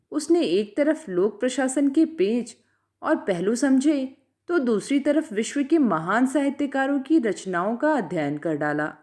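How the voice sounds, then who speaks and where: High-pitched woman narrating, lively intonation. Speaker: storyteller reading literary fiction aloud. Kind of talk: scripted audiobook-style story narration.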